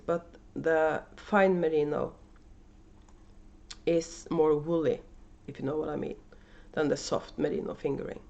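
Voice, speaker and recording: A woman's voice: low voice, darkish tone. Norwegian woman chatting hesitantly, muffled sound. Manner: hesitant, many fillers and restarts. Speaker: knitting podcast host and yarn dyer. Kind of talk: casual unedited video podcast chat. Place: at home, indoors. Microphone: camera microphone.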